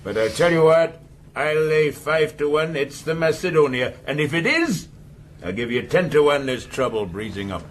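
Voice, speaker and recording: An actor playing a domineering, cynical, shrewd sea captain with a taunting manner in a radio drama. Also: male voice, a little high, expressive, normal speed, slight background noise, close to an everyday voice. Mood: mocking. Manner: taunting, sardonic.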